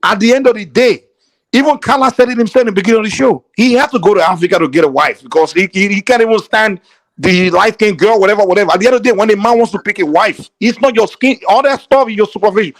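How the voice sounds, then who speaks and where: A man's voice: high-pitched, fast, dry recording. A man from Nigeria with a Nigerian accent, a guest in a heated debate who keeps pressing his point over interruptions. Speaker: guest on a call-in show.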